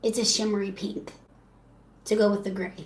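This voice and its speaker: A woman who is sick and losing her voice, speaking hoarsely and chatting affectionately. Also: mid-range voice, level tone, normal speed.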